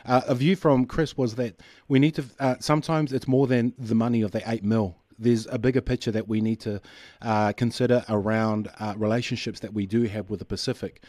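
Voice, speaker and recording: A male radio host talks in a measured, reflective way, often pausing with fillers. The studio sound is dry and close. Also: mid-range voice, fairly even intonation, a little mellow, no echo. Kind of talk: radio interview.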